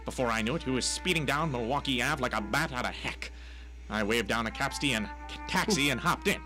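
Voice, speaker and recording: A man's voice: mid-range voice, animated, a little fast, bright, forceful tone, faint music behind, poor audio. A man playing a wisecracking, hapless private detective in a noir radio drama, theatrical and full of old-timey slang. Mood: wry narrating. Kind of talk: hard-boiled noir radio drama narration.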